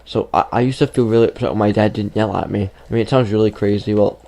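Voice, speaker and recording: A man's voice: lowish voice, fairly even intonation, fast talker, vocal fry, mellow. A fifteen-year-old boy telling a rambling story over gameplay, full of fillers, while losing his voice. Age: teens. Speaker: gaming YouTuber.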